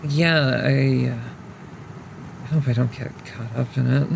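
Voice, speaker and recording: A man's voice: medium pitch, fairly even intonation, slow pace, creaky, slight background noise. A man playing a guarded, evasive young woman in an audio drama, hesitant and polite in the way of talking.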